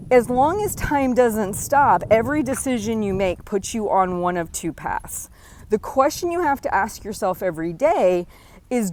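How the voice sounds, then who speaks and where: A woman in her forties hosting a podcast, talking earnestly and encouragingly straight to the listener, often checking in with a quick "right?". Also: a little high, a little mellow, slight background noise. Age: 40s. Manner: earnest, encouraging, direct address with frequent "right?". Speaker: podcast host. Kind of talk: motivational solo talk.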